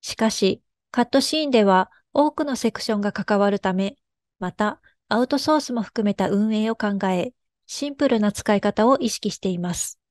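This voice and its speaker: A woman presenting in a formal, measured, explanatory way, reading a scripted technical narration. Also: mid-range voice, darkish tone, no room sound.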